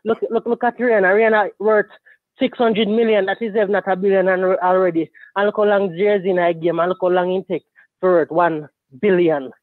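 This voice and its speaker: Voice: medium pitch, flat delivery, a little fast, no echo. A caller on a live show giving blunt, rambling opinions. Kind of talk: phoned-in opinion. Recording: tinny phone line.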